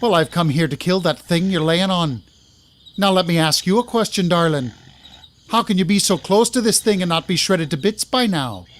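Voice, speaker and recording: A man's voice: high voice, animated, normal speed. A man playing a menacing, mocking monster hunter, folksy and condescending, in a dramatized audiobook. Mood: mocking menace. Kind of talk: dramatized audiobook villain acting.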